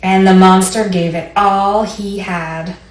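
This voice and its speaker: A woman's voice: mid-range voice, steady tone, slow, recorded from a distance. A woman librarian leading a children's storytime, speaking simply and encouragingly to young listeners and reading aloud. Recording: roomy echo, faint background noise.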